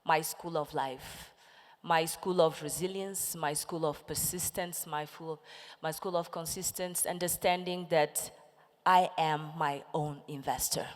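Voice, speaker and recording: A woman's voice: deep voice, steady tone, unhurried. A woman in her forties whose first language is Portuguese, giving an impassioned, rallying talk as a panelist, calling out questions to a conference audience. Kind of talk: motivational stage talk. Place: large conference hall with audience. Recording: echoey conference hall.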